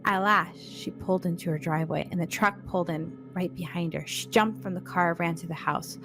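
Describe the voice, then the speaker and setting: Lower-pitched woman reading scary stories. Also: fairly quick, fairly bright tone, soft background music. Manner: informal, addresses listeners directly. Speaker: podcast co-host and story reader. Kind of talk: read-along of a scary short story with chatty intro.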